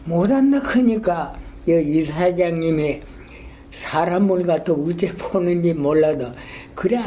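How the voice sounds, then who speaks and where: An older man with a strong Gyeongsang dialect, a retired school principal telling his story in a halting, rambling way in an oral history interview. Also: on the high side, warm tone. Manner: halting, rambling, many fillers and restarts.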